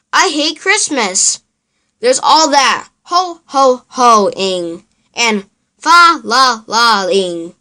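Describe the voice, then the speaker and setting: A woman acts out a fuzzy storybook grouch, a grumpy male creature. He grumbles scornfully and slowly, drawing out his words in a bright, forceful tone. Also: high voice, exaggerated voice.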